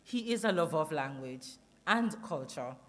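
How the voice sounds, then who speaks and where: A woman's voice: sits low, expressive, moderate pace. A woman introducing a guest speaker at a ceremony, reading a prepared bio. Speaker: master of ceremonies.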